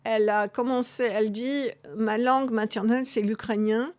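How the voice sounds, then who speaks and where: A woman, talking as a guest author in an interview, halting with many restarts and fillers. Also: on the high side, fairly even intonation, a little mellow, no room sound. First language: English. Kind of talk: interview conversation.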